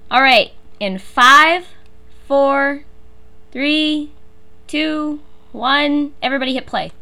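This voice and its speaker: A woman's voice: high voice, slow, bright, forceful tone. An American woman hosting a fan podcast, chatting in a giggly, enthusiastic way. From USA. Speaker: podcast host. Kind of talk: casual fan commentary chat.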